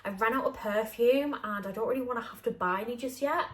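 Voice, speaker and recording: A woman's voice: on the high side, fast talker, noisy background. A young woman, chatting casually to camera in a home vlog, rambling with lots of fillers. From North Yorkshire, England. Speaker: vlogger. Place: at home, indoors.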